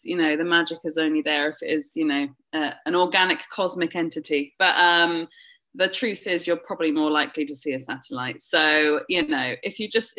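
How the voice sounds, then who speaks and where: Bubbly southern English woman, bright voice. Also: low-pitched. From southern England. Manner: bubbly, laughs often. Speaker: guest. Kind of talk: casual chat.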